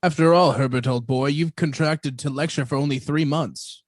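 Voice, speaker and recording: A man playing an affable, politely baffled gentleman in a radio suspense drama. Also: a little high, fairly even intonation, normal speed, dry recording, clean studio sound, natural voice. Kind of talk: theatrical radio suspense acting.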